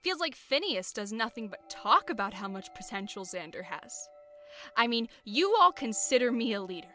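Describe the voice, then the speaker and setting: A woman's voice: fairly high pitch, sing-song, moderate pace, sharp tone. A woman playing a blunt, self-doubting female warrior in a scripted audio roleplay, informal and questioning. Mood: bitter, challenging. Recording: music behind the voice. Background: background music.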